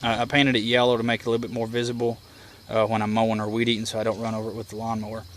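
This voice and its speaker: A man's voice: on the low side, completely flat delivery, rapid-fire, a bit bright. Plainspoken man, noisy outdoor recording. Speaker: hobbyist presenter. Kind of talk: casual explanatory walkthrough. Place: outdoors beside a house porch. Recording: outdoor recording with clear insect and bird noise. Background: insects, birds, outdoor ambience.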